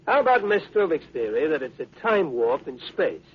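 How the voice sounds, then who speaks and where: An actor playing a wry, worried middle-aged ship's doctor with an American accent, hesitant yet theatrically expressive, in a 1950s radio drama. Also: male voice, a little high, moderate pace, breathy edge. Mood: uneasy, questioning. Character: wry, worried male ship's doctor, frank old friend. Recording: muffled, hissy 1950s radio recording.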